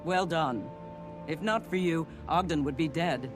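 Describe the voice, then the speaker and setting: An actor playing a confident male assassin of a secret order in a fantasy video game, deliberate and coolly eager. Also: a little high, natural voice. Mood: confident, approving. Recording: prominent music behind the voice.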